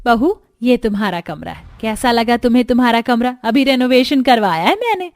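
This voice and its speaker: Cartoon mother-in-law played by a woman: middle-aged matriarch, instructing yet affable, higher-pitched. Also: lively intonation, a little fast, darkish tone, dry recording, studio quality.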